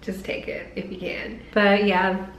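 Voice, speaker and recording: A woman's voice: medium pitch, level tone, unhurried. A young woman talking casually to her viewers, filling her sentences with "like". Her voice sounds a little strained from a recent throat surgery. She's in an echoey room with a faint hum of ambience behind her. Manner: chatty, peppered with "like". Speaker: vlogger. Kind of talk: casual vlog talk to camera.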